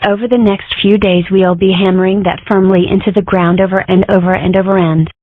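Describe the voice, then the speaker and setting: A woman's voice: mid-range voice, flat delivery, brisk, lightly acted. A woman voicing an earnest, opinionated animal character in a comic dub, speaking in declarations. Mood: speechifying. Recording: dry, phone-like narrow sound.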